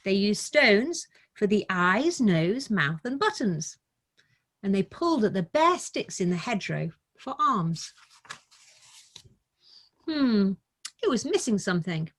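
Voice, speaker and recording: Middle aged lady author doing storytime for kids, normal mid pitched voice. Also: big pitch swings, slow pace, pauses often. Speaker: guest author reading her children's book. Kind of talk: casual storytelling, then read-aloud of a picture book.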